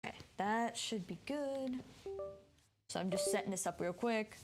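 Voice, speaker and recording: A woman's voice: medium pitch, normal speed, loud music behind the voice. A woman streaming games, chatting informally and thinking aloud in a rambling way. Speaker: game streamer. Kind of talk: casual livestream chat.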